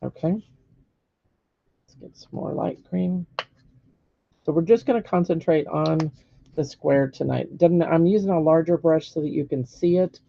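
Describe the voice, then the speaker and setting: A hoarse, gravelly woman walks viewers through brush strokes in a patient, step-by-step way. Her voice is dark and mellow, recorded dry and a little dull, as on a live stream. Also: low-pitched, a little slow, pauses often, dry recording. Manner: patient step-by-step instruction with frequent "okay?" checks. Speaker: painting teacher. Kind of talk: live-streamed painting demonstration.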